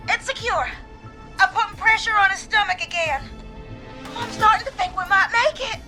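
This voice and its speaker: A woman's voice: extremely high pitch, normal speed, loud music behind the voice. An actress playing a frightened, desperate young woman caller in a video game, pleading, hesitant and apologetic. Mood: nervous, shaky. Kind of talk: dramatic video game voice acting.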